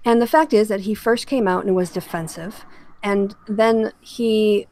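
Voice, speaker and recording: A woman's voice: mid-range voice, fairly even intonation, unhurried, dark tone. A woman hosting an opinionated online discussion, speaking in an earnest, emphatic and argumentative way. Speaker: host of an online political discussion show.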